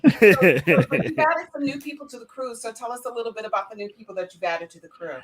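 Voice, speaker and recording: A woman's voice: a little high, expressive, fast, crowd noise. A woman hosting a casual interview, chatty and affirming as she prompts her guests. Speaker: host.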